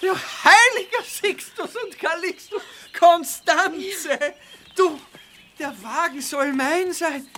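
An actor playing an exuberant, boyishly delighted male composer in a period radio drama, effusive and excitable. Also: extremely high pitch, takes it slowly, a little hiss or hum, full character voice. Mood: astonished delight.

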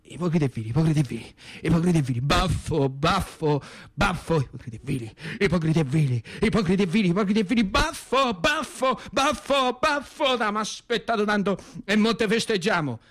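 A man's voice: high voice, expressive, brisk, fairly bright tone. A man playing fervent villagers chanting political slogans insistently in radio theatre.

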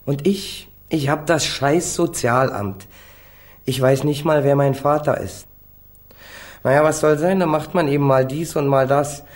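A man's voice: fairly high pitch, fairly even intonation, unhurried, natural voice. A man acting a defiant, street-tough young small-time crook in a German radio crime drama, flippant and slangy. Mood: bitter, self-pitying. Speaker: actor playing a defendant.

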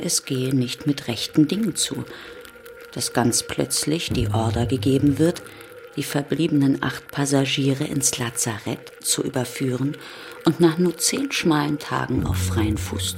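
Grave woman storyteller, evenly pitched. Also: a little slow, creaky, a little hiss or hum, full character voice. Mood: measured, grave. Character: grave storyteller chronicling a plague. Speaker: narrator. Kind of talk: scripted radio play narration in literary German. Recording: music behind the voice. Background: music.

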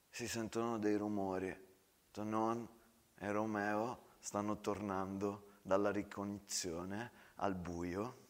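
A man narrating a trench story theatrically, as an actor-narrator. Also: fairly low pitch.

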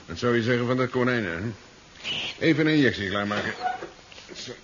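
An actor playing a brisk, sardonic professor with a wry manner in a 1970s science fiction radio play. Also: male voice, mid-range voice, expressive, natural voice. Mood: businesslike. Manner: wry, chuckling, ends remarks with questioning tags. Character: brisk, sardonic male professor in his sea-side laboratory. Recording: dull, noisy 1970s radio recording.

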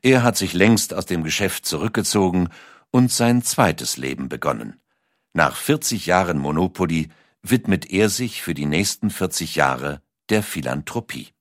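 Deep-voiced male documentary narrator. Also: animated, moderate pace, no room sound, clean studio sound. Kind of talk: scripted narration.

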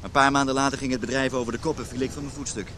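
A man's voice: mid-range voice, fairly even intonation, rapid-fire, a bit bright, a little hiss or hum, lightly acted. Actor as disillusioned man in his thirties. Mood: rueful. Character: John, disillusioned unhappily married man of thirty-two, self-pitying. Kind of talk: naturalistic Dutch radio drama acting.

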